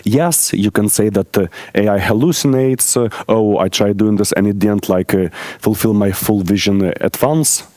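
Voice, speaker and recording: A man from Ukraine in his thirties giving a conference talk on stage, speaking haltingly with many filler pauses and friendly asides to the audience. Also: fairly low pitch, mellow, dry recording.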